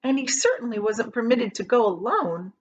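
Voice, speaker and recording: A woman reading a children's storybook aloud as a teacher would, with dramatic emphasis and drawn-out key words. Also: fairly high pitch, lively intonation, highs cut off.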